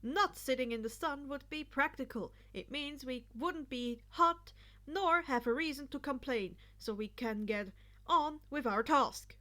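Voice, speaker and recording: A woman's voice: high voice, measured pace, pushed and bright. A woman voicing an earnest, practical teenage boy class leader in a story, with formal, clipped declarations. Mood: brisk, practical.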